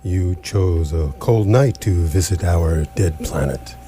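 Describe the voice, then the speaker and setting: An actor in live radio theatre playing an ancient, absent-minded alien planet-builder, stately and portentous yet mildly apologetic. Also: male voice, sits low, slow pace, dark tone, soft background music. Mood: grave, mild. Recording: noisy live stage recording with hum.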